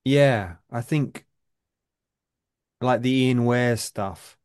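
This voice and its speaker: Slow-speaking male podcast host. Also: mid-range voice, darkish tone, smooth. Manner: measured, with frequent murmured agreement. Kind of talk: podcast conversation.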